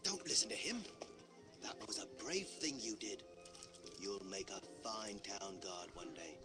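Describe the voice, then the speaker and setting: A man playing a brisk, authoritative town guard, dutiful and upright, in a theatrical puppet show. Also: on the low side, big pitch swings. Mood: kindly, reassuring. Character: stern, dutiful male town guard, kindly to children. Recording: loud music behind.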